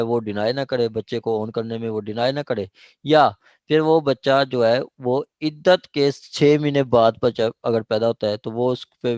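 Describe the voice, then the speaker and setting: A man's voice: medium pitch, a little mellow, no room sound. A man with a Pakistani accent lecturing on law, reading out statutes and explaining them while switching between two languages. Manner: explanatory, code-switching between Urdu and English, with frequent check phrases.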